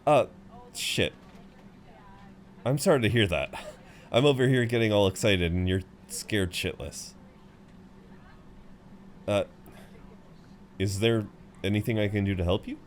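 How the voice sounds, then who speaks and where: A man's voice: lowish voice, a little slow, pauses often, a little hiss or hum, natural voice. A man playing a chatty, good-humoured frequent flyer who rambles kindly to a seatmate, in an intimate roleplay audio. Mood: concerned, gently flirty. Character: chatty, friendly tall male frequent flyer comforting seatmate. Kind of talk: intimate roleplay audio acting, one side of conversation.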